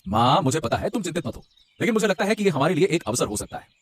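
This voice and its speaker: A man plays an earnest young husband in a moral-story cartoon. His high, very animated voice pleads and reasons with some hesitation, quickly, over music. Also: a little hiss or hum.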